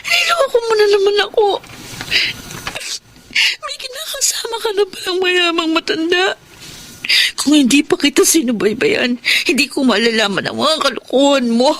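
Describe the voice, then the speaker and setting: A woman's voice: very high-pitched, lively intonation, a bit bright, slight background noise. A Filipino actress playing a heartbroken, reproachful long-time girlfriend in a tearful radio drama style. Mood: reproachful, hurt. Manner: tearful, slow, melodramatic.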